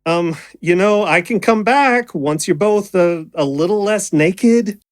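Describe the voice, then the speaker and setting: A woman's voice: fairly low pitch, slow pace, dry recording, studio quality. A woman playing a young stage magician, affectionate and easily flustered, in a naturalistic audio drama. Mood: awkward, flustered.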